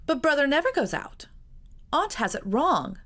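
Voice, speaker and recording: A woman doing an earnest young girl, high and eager. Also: sing-song, normal speed, a bit bright. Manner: earnest, pleading.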